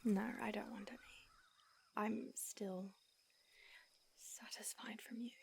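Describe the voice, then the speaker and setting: A woman voicing a calm, centuries-old female vampire mentor in an audio roleplay, speaking in a soft, hushed whisper. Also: medium pitch, takes it slowly, vocal fry, slight background noise. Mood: grave.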